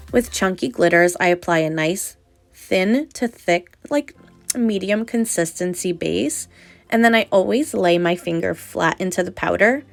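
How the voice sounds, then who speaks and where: A woman's voice: lowish voice, gravelly. A young adult woman narrating a nail tutorial voice-over in a chatty, explanatory way, sounding slightly nasal from a cold.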